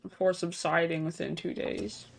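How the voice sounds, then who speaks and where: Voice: medium pitch, flat delivery, normal speed, vocal fry, a little hiss or hum, poor audio. A reaction video host reading on-screen text aloud and breaking into casual, speculative asides. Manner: casual asides between read-out passages.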